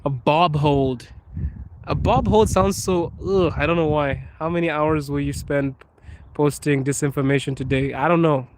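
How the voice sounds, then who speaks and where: A man's voice: on the high side, moderate pace, slight background noise. A man from Zimbabwe live-streaming outdoors on a phone, casually reading and joking about viewer comments as he walks. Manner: laughing, joking with chat while walking. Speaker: livestreamer. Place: outdoors in a cold city street.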